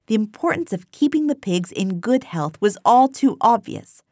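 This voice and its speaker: A woman's voice: a little high, lively intonation, normal speed, darkish tone, no room sound, studio quality. A woman reading literary prose as scripted audiobook narration.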